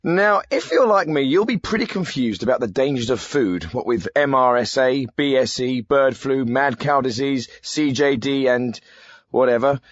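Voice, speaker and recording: A breezy phone-in presenter played by a male actor, glib and a little dim. His mid-pitched voice swoops about in a close, dry studio sound. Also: lively intonation, normal speed, dry recording, natural voice. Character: glib, clueless male phone-in radio host. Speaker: host. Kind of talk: spoof radio phone-in presenting.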